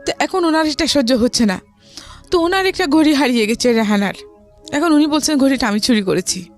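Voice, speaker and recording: A woman with a Bangladeshi accent tells a personal story in a conversational way, as an interview guest. Her voice is high, and soft music plays behind her. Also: animated, brisk.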